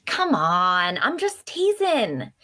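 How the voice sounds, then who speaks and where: A woman's voice: medium pitch, sing-song, slow, sharp tone, exaggerated voice. A woman playing a chatty, nosy and cheerful traveler in a fantasy audio drama, with a teasing, folksy manner. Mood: teasing, pleading. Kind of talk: dark fantasy audio drama table read.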